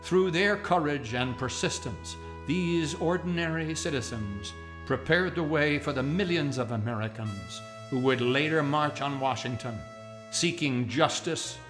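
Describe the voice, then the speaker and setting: A man's voice: mid-range voice, unhurried, over music. A man reading scripted narration and closing credits as the announcer of a radio play.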